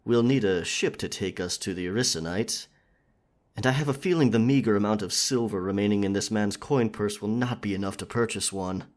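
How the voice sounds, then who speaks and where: Casting: male actor as courteous royal knight, lowish voice, formal chivalrous phrasing, earnest, roleplay audio drama. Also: a little fast, close to an everyday voice. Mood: gentle, formal. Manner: formal, chivalrous, wordy speech.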